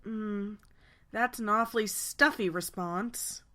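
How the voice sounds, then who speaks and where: A woman playing a friendly young female pegasus knight in a game fan dub, with a gentle, familiar way of talking. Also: medium pitch, takes it slowly. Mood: teasing. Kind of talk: acted game dialogue.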